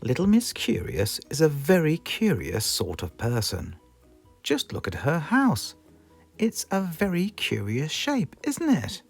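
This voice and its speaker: British storyteller reading to kids, slow and super animated, music playing behind. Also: mid-range voice, creaky. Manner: expressive, asks the listener questions. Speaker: storybook narrator. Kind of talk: scripted children's story narration.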